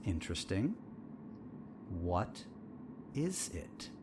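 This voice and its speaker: An actor playing a controlled, menacing male therapist in an audio drama, with measured, pointed questioning. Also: low voice, sing-song, background noise, exaggerated voice. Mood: coolly pointed.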